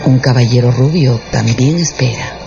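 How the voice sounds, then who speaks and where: Male narrator, mid-pitched voice, scripted dramatic storytelling, music clearly behind, slightly dull radio sound. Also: normal speed.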